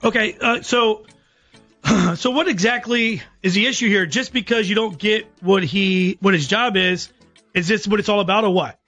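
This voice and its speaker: A male radio host with a high, forceful, bright voice. He questions in an upbeat, conversational way over a music bed. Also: normal speed, highs cut off.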